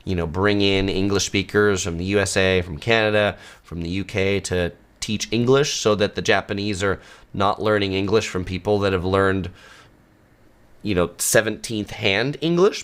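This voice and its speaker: Male travel host, low-pitched, unhurried, filler-heavy conversational delivery, live Q&A feel. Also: clean tone. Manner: relaxed, conversational, many ums and uhs. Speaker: travel channel host answering viewer questions. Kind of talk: casual live Q&A. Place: home studio.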